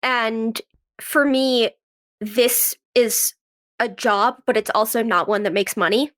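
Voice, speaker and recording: A woman with a fairly high voice talks as a guest in a conversational interview. She explains things earnestly, as someone speaking from her own working experience as a performer. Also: fairly even intonation, takes it slowly, fairly bright tone. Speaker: podcast guest, actress and writer.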